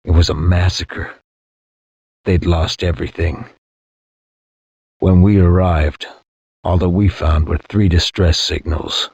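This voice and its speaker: Deep, husky war-weary soldier narrator. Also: male voice, little pitch movement, a little mellow, no room sound, exaggerated voice. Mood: grave, tense. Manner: grave, measured, reflective. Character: war-weary male space soldier recounting a past campaign. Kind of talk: dramatic scripted war-memoir narration. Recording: dull, lo-fi game audio.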